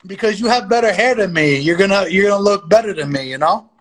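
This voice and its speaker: A man with a notably high voice hosts a weekly podcast over a livestream. He keeps the talk relaxed and friendly as he leads the conversation with his guest. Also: quick pace. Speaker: podcast host. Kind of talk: casual chat.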